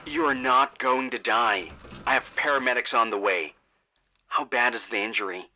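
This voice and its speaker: Man playing a very bright, crisp-toned emergency dispatcher. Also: mid-range voice, normal speed, rough recording. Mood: reassuring. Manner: procedural, questioning, controlled. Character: composed, reassuring male emergency call dispatcher. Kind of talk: horror audio drama acting.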